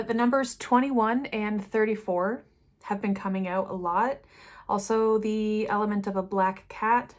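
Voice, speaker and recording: A woman talks through an intuitive reading in an unscripted, musing way, her thoughts wandering and her voice sinking into quiet asides. She speaks very slowly, in a mid-range voice that is neither high nor low. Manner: slow, meandering, trailing off into quiet asides. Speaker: intuitive card reader. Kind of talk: unscripted spiritual reading to camera.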